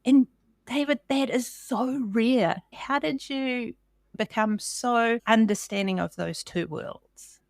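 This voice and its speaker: A woman's voice: a little high, slow pace, vocal fry, no room sound, studio quality. A middle-aged woman with a New Zealand accent, hosting a podcast interview in a friendly, chatty, informal way. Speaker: podcast host.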